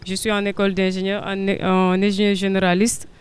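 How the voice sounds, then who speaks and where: Young Senegalese woman, flat, smooth. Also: medium pitch, a little fast. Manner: hesitant, many filler sounds, earnest. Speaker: guest. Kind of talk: interview answers.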